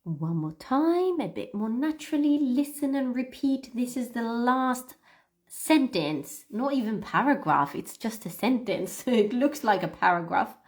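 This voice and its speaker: Slow-paced, high-voiced woman teaching English. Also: darkish tone. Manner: encouraging, praising learners; deliberate, repeats phrases for learners to copy. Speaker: English teacher hosting a livestream lesson. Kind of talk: read-aloud pronunciation lesson, addressing learners.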